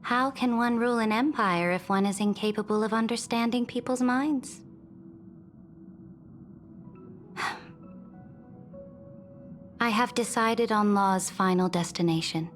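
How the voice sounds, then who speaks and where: Slow, regal woman emperor played by an actress. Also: on the high side, in a large hall, faint music behind, lightly acted. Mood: knowing, measured. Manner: regal, deliberate, commanding. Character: imperious, ambitious female emperor, proud and calculating. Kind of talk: scripted video game voice acting.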